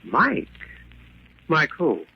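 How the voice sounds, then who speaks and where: A man's voice: a little high, huge pitch swings, very slow, husky, exaggerated voice. A man playing an indignant, rattled businessman in a 1940s radio mystery, with formal, blustering speech. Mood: puzzled. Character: indignant, rattled well-to-do businessman under accusation. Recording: muffled old 1940s radio recording.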